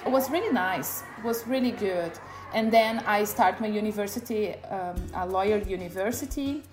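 A woman in her thirties speaks English with a Brazilian accent and a medium pitch. She talks casually about herself, as in a personal interview. Music plays behind her voice. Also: moderate pace. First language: Portuguese. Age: 30s. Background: background music.

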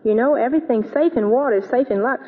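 A woman's voice: on the high side, fast, slightly rough. A woman speaking as a gushing guest in a scripted 1940s radio advertisement. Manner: bright, gushing enthusiasm. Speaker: guest in a radio soap commercial. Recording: tinny, reverberant old radio broadcast.

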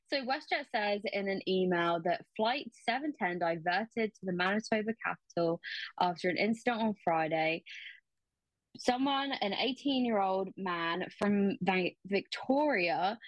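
A woman delivers news as casual chat, with an informal, giggly, self-deprecating manner. Her voice sits at an average pitch and sounds dry, with little room around it. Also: a little slow, no echo, low-quality recording. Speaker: news segment contributor.

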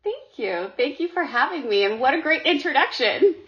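A woman's voice: high-pitched, big pitch swings, normal speed. A middle-aged woman from Sri Lanka, talking earnestly as a podcast guest and often repeating words as she goes. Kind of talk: casual interview talk. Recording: dull lo-fi audio.

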